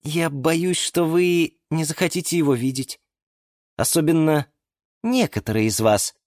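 Man acting an earnest, patient storybook fellow, raised pitch. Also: expressive, unhurried, a little mellow, no room sound, studio quality. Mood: hesitant, resigned. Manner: earnest, persuasive, sighing. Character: patient, earnest, kind man who talks with houseplants.

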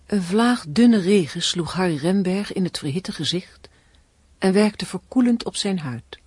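A woman's voice: mid-range voice, expressive, a little slow, darkish tone, slightly rough, no room sound. A woman narrating and announcing a radio play in a scripted, literary style.

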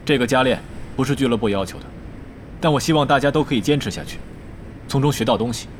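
A man acting a serious young esports team captain in an audio drama, speaking in a measured, earnest, formal way. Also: medium pitch, slight background noise, lightly acted. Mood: calm, authoritative.

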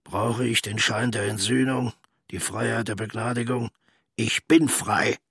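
An actor playing a bitter, hard, unrepentant Nazi war criminal in a radio play, with clipped, contemptuous, gravelly, often half-whispered inner monologue. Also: male voice, medium pitch, steady tone, a little slow, fairly bright tone, no room sound, studio quality, full character voice. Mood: scornful.

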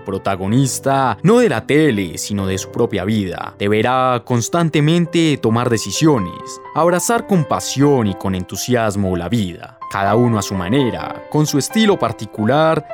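A man playing a solemn, dramatic narrator of a radio soap, speaking in a grandiose, deliberate manner. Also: mid-range voice, natural voice. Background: music with guitar.

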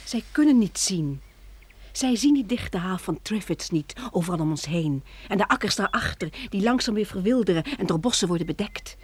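A gentle survivor's wife in a radio play. She speaks earnestly and wistfully in a husky, breathy voice of medium pitch, sometimes nearly whispering. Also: expressive, normal speed. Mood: wistful, tender.

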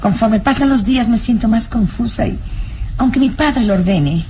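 Actress doing nervous tender girl-next-door type young woman, radio drama, hesitant and sighing, fairly high, slight hiss. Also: animated, normal speed, mellow, lightly acted. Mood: confused, wistful. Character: anxious, tender young woman, lonely and confused. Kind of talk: Spanish-language radio drama acting.

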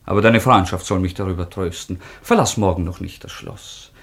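A man's voice: low-pitched, brisk, fairly bright tone, slightly rough, exaggerated voice. An actor playing an ardent, melancholy young nobleman, speaking earnestly and lyrically in a radio play. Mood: earnest, wounded. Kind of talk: classic radio play acting.

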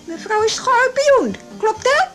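An actress playing a brazen, mocking alcoholic wife in a radio drama, with a provocative, slurring manner. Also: female voice, very high-pitched, lively intonation, slow pace, a bit bright, soft background music, heavily acted. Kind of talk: Dutch 1980s radio drama acting.

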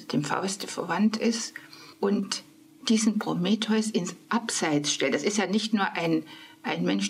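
A woman's voice: fairly low pitch, creaky, breathy edge. A woman speaking as a literary scholar, explaining thoughtfully and searching for her words. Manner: hesitant, searching for words, soft asides.

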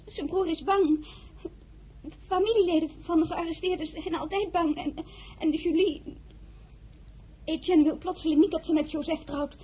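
A woman's voice: very high voice, normal speed, long pauses, dark tone, poor audio. An actress playing a timid, earnest young woman who stammers and pleads in a radio play. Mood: pleading.

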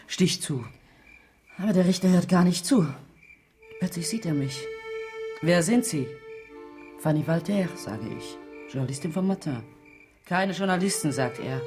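An actress playing a brisk, sardonic newspaper reporter, telling what happened in clipped phrases in a radio drama. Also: female voice, on the low side, natural voice. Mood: tense, mimicking. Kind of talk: terse dramatic storytelling in a radio crime drama. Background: music.

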